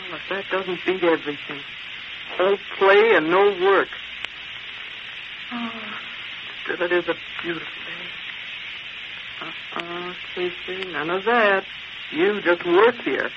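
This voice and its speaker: American woman, sharp, no-nonsense office secretary, 1940s-style radio serial, muffled with strong noise. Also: fairly low pitch, expressive, slow pace, creaky, long pauses, heavily acted. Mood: chiding, wistful. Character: sensible, scolding, quick-witted female newspaper secretary. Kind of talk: old-time American radio drama acting. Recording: muffled, noisy vintage radio recording.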